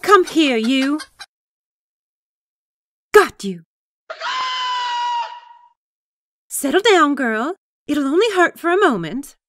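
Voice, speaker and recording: A high-pitched, sharp-tongued young frontier woman played by an actress in a comic dub. She is bold and lusty, drawing words out slowly with big theatrical swoops. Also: big pitch swings, no echo, clean studio sound, heavily acted. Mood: coaxing. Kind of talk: comic book fan dub.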